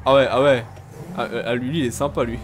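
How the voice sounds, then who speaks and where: A man's voice: medium pitch, fairly even intonation, brisk, over music. A man playing a video game live, chatting casually in a playful, teasing, slangy way. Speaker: gamer, video creator. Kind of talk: casual live gameplay trolling chat.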